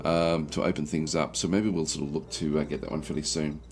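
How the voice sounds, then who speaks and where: Male streamer, very low gravelly croak, thinking aloud in halting casual game commentary, faint music behind. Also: level tone. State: croaky throat, recovering from feeling unwell. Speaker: livestream host playing a strategy game. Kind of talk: casual game commentary, reading and answering chat.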